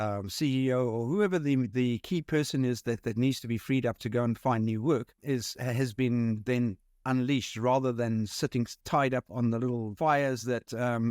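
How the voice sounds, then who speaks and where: A middle-aged man with a South African accent talks through his work in a relaxed, halting way, full of fillers and restarts. His voice sits at an ordinary pitch and is recorded close and dry, with no room sound around it. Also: no echo. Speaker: business consultant guest. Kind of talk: casual interview answers.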